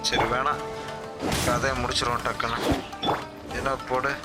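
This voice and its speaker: A man's voice: mid-range voice, flat delivery, very fast. A man giving casual live commentary as he streams a video game. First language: Tamil. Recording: voice over loud game music.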